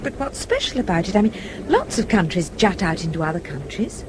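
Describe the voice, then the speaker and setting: A woman's voice: mid-range voice, huge pitch swings, a little fast. An actress playing a polite, curious young English woman in a radio drama, with an English accent. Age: young adult. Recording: radio play with clear background noise.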